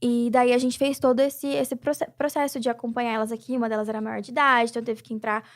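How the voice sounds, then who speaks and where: A woman's voice: on the high side, flat delivery, fast talker. A young Brazilian woman, guest and charity president, talking reflectively in a casual interview with many filler tags. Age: young adult.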